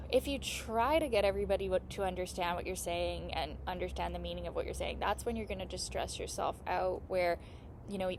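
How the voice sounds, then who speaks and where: Earnest woman with vocal fry. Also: medium pitch, darkish tone, a little hiss or hum. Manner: mouth clicking noises; earnest, reassuring and encouraging. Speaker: astrologer and healing coach. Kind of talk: casual astrology teaching monologue.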